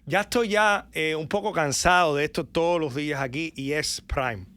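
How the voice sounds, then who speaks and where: A man's voice: fairly high pitch, fairly quick, pushed and bright, smooth, no room sound. A man in his thirties with a Cuban accent, a radio host bantering in a studio with mock indignation, mixing English and Spanish. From Cuba. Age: 30s.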